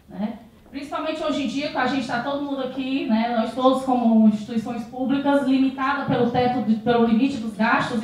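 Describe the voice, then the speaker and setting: A woman's voice: high-pitched, darkish tone. A woman in her thirties from Brazil giving a conference talk on stage with a handheld mic, often adding tag questions and restarting sentences. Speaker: conference speaker. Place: large auditorium stage with audience. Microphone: handheld microphone. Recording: very echoey hall, voice from far off.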